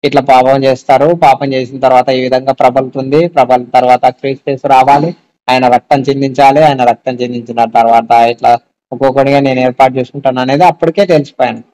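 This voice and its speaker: A man's voice: medium pitch, little pitch movement, fast talker, dark tone. A man talking casually on a phone call about faith, explaining and often asking check-back questions. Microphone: telephone.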